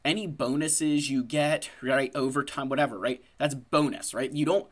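A man's voice: mid-range voice, steady tone, normal speed. A man in his thirties talks through a mobile game from a home desk setup in a loose, conversational way. He has a cold, so he sounds stuffy and sniffles now and then, and he keeps checking in with "right?" and "like". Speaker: gaming channel host. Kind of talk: casual game commentary.

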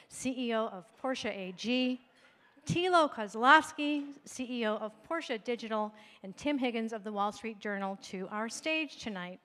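A woman's voice: on the high side, a bit bright, in a large hall. A middle-aged woman giving a formal scripted welcome on stage through a handheld microphone. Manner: polished, formal. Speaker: event host. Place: stage in an event hall. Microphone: handheld mic.

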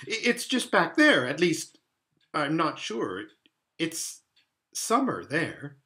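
A little girl from a storybook, acted out by a man, polite and a bit puzzled. The voice is bright and lightly raised, with simple, hesitant, well-mannered delivery. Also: fairly high pitch, wildly swooping pitch, slow pace, pitched above the performer's own voice, full character voice. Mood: uncertain. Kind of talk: children's storybook reading.

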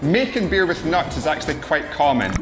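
A man in his thirties co-hosting a show, trading deadpan jokes and puns. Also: fairly high pitch, a little fast, over music. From Scotland. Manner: playful, deadpan punning. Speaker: co-host. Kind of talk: joking casual chat. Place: outdoor farm and open barn.

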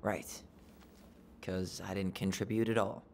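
A man's voice: low-pitched, little pitch movement, measured pace, darkish tone, a little hiss or hum. An actor playing a guarded, dryly sarcastic young man, terse and naturalistic, in a video game. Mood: reflective, dry. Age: young adult.

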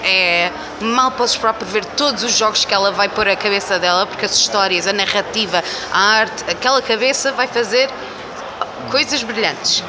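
A woman's voice: medium pitch, moderate pace. A woman in her twenties with a European Portuguese accent, giving enthusiastic, emphatic answers in a casual interview. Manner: enthusiastic, effusive, emphatic repetition. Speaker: game publisher community manager, interviewee. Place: indoor festival venue, by a booth wall. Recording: loud busy event-floor noise.

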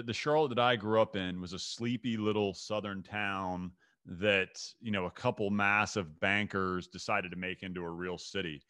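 Eager talk-show host on a dry mic. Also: male voice, fairly low pitch, a bit bright, dry recording. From North Carolina. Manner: eager, effusive, repeats words. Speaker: podcast host. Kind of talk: enthusiastic interview chat. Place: home office with bookshelves. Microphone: studio mic on boom arm, video call.

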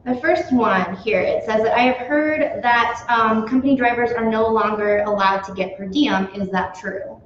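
A woman's voice: a little high, normal speed, hardly any pauses, a little hiss or hum. A young woman hosting a live question-and-answer in an office, friendly, with many "um"s. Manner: friendly, lots of "um"s. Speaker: host. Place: small office room. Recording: very echoey, dull office recording.

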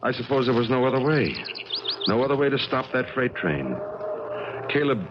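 A man's voice: medium pitch, sing-song, normal speed, lo-fi sound, natural voice. An actor playing a grave, resigned railway man in a 1970s radio drama, sombre and terse on a crackly recording. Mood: grave, stunned.

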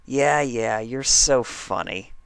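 A man's voice: medium pitch, slow, a bit bright, clean tone, no echo, voice pushed up. A man voicing a confident, teasing female farm pony with a folksy manner in a story reading.

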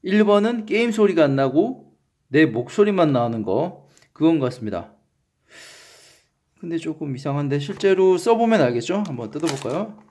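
A man's voice: on the high side, lively intonation, normal speed, darkish tone. Joking man with a Gyeongsang accent. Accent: Gyeongsang Korean. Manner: joking, self-mocking, trips over words. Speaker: channel host. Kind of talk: casual unboxing vlog.

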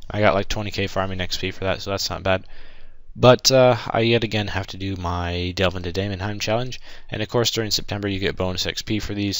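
Young guy gaming commentary, deep voice, kinda sick and losing it, dry close mic. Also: a bit bright, no room sound. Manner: rambling, filler-heavy, matter-of-fact. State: losing his voice, a little sick. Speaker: gaming channel host. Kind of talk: casual gameplay commentary.

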